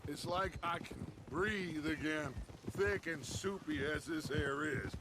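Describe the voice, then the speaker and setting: A charismatic outlaw gang leader, grandiose and persuasive, with a fairly high voice. Outdoor noise and horses are clearly heard around him. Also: male voice, slow pace.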